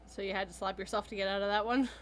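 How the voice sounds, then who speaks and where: A woman's voice: medium pitch, steady tone, rapid-fire, a little hiss or hum. A woman guesting on a casual podcast chat, laughing often as she talks. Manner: laughs often while talking.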